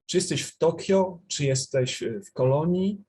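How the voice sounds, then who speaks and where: A man interviewing a guest with measured, polite questions and pauses between phrases. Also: a little high, darkish tone, husky. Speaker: festival TV interviewer.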